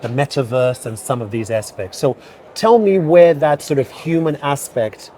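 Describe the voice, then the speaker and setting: A man's voice: mid-range voice, moderate pace, warm tone, slight background noise. A man from Nigeria hosting an interview at a tech conference and asking thoughtful, curious questions. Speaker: interviewer, host.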